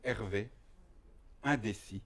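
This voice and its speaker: A man from Belgium performing a comic stage monologue in a mock-serious, deliberate way, with pauses for effect. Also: lowish voice, very noisy. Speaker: stand-up performer.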